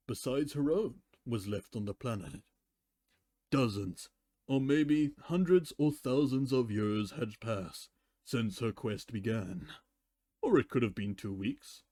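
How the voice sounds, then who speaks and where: A man narrates fan fiction at a slow pace. His voice is relaxed and mellow, and the sound is dry, as a streamer reading a story aloud. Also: mid-range voice, no echo.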